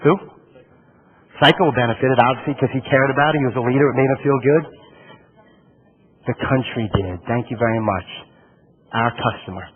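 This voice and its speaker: A man from Alabama with a Southern accent giving an animated keynote speech, questioning the audience and calling on listeners by name. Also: medium pitch, normal speed, long pauses, husky. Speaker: motivational keynote speaker. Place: conference room with a live audience. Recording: tinny phone-like sound.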